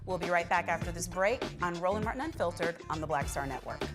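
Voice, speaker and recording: A woman's voice: on the low side, expressive, fast talker, music in the background. A woman hosting a news talk show, speaking firmly as she presents and puts questions to panelists. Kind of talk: news commentary and panel moderating.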